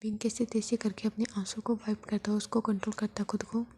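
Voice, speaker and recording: A woman narrating a story in a soft, steady whisper. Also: mid-range voice, a little fast, husky.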